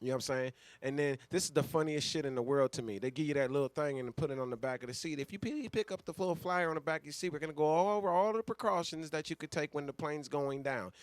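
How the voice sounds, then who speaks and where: A man hosting a casual live radio show, speaking very quickly in a slightly high voice. He rants comically in profane slang and throws out rhetorical questions. The sound is close and dry. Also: no room sound.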